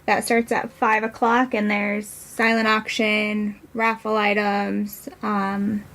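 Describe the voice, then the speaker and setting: A woman's voice: medium pitch, monotone, takes it slowly, a bit bright, slight background noise. A woman speaking as a podcast guest, explaining things in a casual, conversational style. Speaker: podcast guest from a non-profit.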